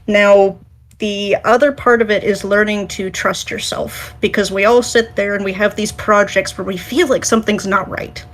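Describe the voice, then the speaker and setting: A woman's voice: medium pitch, steady tone, normal speed. A woman speaking as a writer on a discussion panel, giving frank and earnest, emphatic advice in a conversational way.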